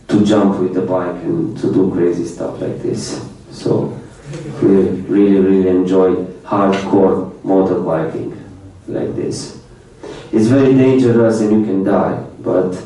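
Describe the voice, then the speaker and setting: A young man who grew up speaking Romanian talks through his slides in simple English, repeating words as he goes. His voice sits low and dark. The very echoey lecture room and the distant mic make him sound far away. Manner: plain speech in non-native English with many repetitions, light laughs. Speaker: foreign student presenter. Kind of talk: informal slide presentation.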